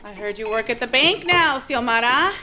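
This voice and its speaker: A woman's voice: a little high, lively intonation, normal speed, pushed and bright, soft background music. A woman playing a menacing, gloating male outlaw gang boss in a western radio play, with taunting, theatrical delivery.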